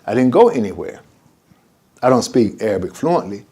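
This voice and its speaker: A man's voice: lowish voice, normal speed, breathy edge. An older man teaching an informal religious lesson as imam, wandering off topic and acting out conversations. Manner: animated and digressive, acts out conversations.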